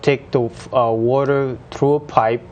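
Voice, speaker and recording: A man from Haiti with a Haitian accent, a municipal engineer chatting as a guest in an interview and often pausing on fillers. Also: mid-range voice, steady tone, a little mellow, lo-fi sound, a little hiss or hum. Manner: hesitant, many uh fillers and restarts. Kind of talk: casual interview chat.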